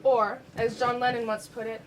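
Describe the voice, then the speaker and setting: Caring young student friend woman acting, high-pitched. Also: level tone, moderate pace, a crowd in the background, close to an everyday voice, rough recording. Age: teenager or young adult student performer. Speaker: student stage actor. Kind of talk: amateur stage play acting.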